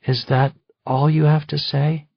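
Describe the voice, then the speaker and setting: A man's voice: fairly high pitch, level tone, a little slow, creaky, slightly rough, no room sound, muffled recording, pitched above the performer's own voice, exaggerated voice. A man voicing a furious, grieving, betrayed wife who speaks with intense accusation. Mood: quietly accusing.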